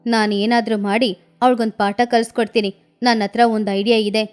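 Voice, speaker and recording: A woman's voice: on the high side, little pitch movement, moderate pace, clean tone, faint music behind, pitched below the performer's own voice, close to an everyday voice. A woman voicing an authoritative female eagle who heads a village in a children's cartoon story, speaking firmly. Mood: stern, resolute.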